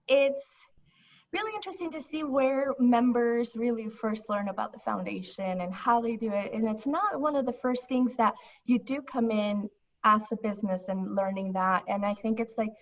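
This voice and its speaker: A young woman from Ecuador, a foundation staff member, chatting casually as an interview guest over the phone, laughing often and using many fillers. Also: fairly high pitch. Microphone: phone line. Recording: distant, muffled phone audio.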